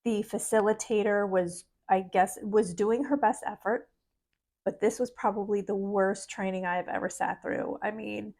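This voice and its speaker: A middle-aged woman with a soft voice, talking earnestly and casually to camera as a spiritual vlogger. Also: mid-range voice. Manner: earnest, chatty, laughs between thoughts.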